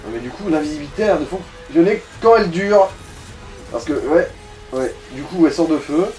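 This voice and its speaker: A man's voice: on the high side, animated, fairly quick, warm tone. A man chatting casually and giving advice as a companion during a video game session. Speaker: let's play companion. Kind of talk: casual gameplay chat and advice. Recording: distant echoey mic, game music behind.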